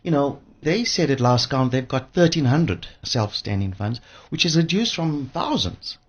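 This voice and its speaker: A man's voice: medium pitch, expressive, normal speed, husky, muffled recording. A man speaking as an expert guest in a conversational radio interview, emphatic and repeating words for stress. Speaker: studio guest, pension fund expert.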